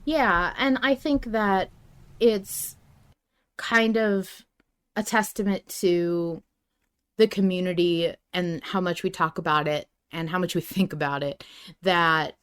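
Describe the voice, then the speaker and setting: A woman with a slightly low voice hosts a casual podcast chat. She talks informally and tends to ramble, working out her thoughts as she goes. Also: slow, clear, resonant tone. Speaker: podcast host. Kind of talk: casual fan discussion chat.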